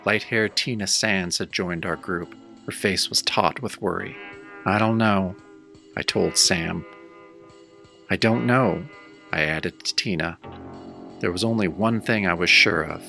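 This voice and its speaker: A man's voice: sits low, a little slow, creaky, long pauses, sharp tone, soft background music, full character voice. An actor playing a battered, wry tough-guy hero, narrating his own pulp horror tale in a radio-style audio drama. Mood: wry, weary. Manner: wry, hard-boiled first-person storytelling.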